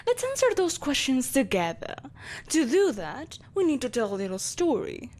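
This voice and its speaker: A woman's voice: sits high, huge pitch swings, moderate pace, a little mellow, heavily acted. A woman playing a malevolent, possessive empress in a dramatic audio roleplay, imperious and theatrical, with mocking sweetness. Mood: storytelling, measured.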